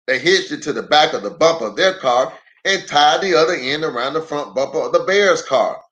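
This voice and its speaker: A man reading a children's story aloud in an expressive storytelling style. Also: on the high side, a little fast.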